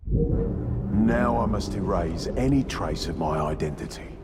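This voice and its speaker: A gloating killer with a lowish voice that swoops and rises as he mocks his foe. He lingers on each word, slow and deliberate, over loud orchestral music in a game cutscene. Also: expressive. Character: vengeful, taunting male serial killer. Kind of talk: video game cutscene acting. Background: orchestral game score.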